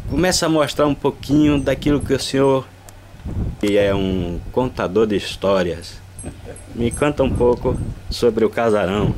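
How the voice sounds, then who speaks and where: A man with a fairly low voice leads the interview and answers mostly with short affirmations. He sounds set back from the mic. Clear ambient noise runs behind him. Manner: brief affirmations.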